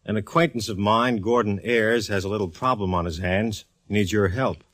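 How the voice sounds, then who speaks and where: An actor playing a dry police inspector. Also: male voice, lowish voice, moderate pace, dry recording, lightly acted. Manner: dry, deadpan banter. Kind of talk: 1940s radio acting.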